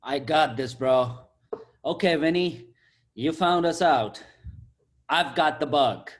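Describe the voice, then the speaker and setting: A man's voice: a little high, slow. A man voicing a cocky, brash young delivery sidekick with slangy banter in an amateur table read.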